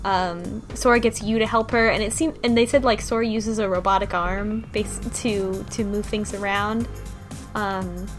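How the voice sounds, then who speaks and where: A woman's voice: medium pitch, fairly even intonation, normal speed, soft background music. A woman in a casual group discussion, animatedly retelling a story with lots of "like". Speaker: podcast guest.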